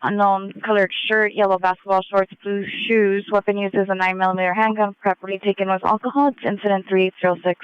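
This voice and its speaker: High, clear male dispatcher on police radio. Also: little pitch movement, fast talker, hardly any pauses, no echo. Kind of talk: clipped radio dispatch, codes and numbers. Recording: dull lo-fi police scanner radio.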